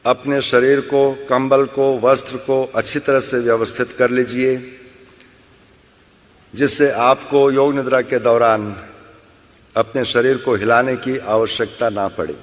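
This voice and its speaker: A man leading a guided yoga nidra session as an instructor, giving measured instructions with long pauses. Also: mid-range voice, fairly even intonation. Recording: long hall-like reverb.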